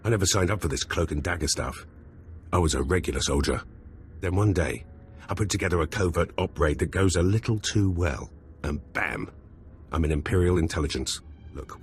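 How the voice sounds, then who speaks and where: Cocky, low-voiced spy officer, conspiratorial. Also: male voice, a little hiss or hum. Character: brash male Imperial intelligence officer, scheming.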